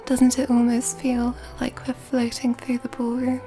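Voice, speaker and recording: Elegant vampire seductress for ASMR roleplay, played by a woman: soft, breathy, mellow and dark, genteel flirt, often whispering, music behind. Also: fairly high pitch, monotone, measured pace, lightly acted. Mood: flirtatious, charmed. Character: elegant, seductive female vampire at a masquerade ball. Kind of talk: ASMR roleplay, intimate scripted monologue. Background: background music.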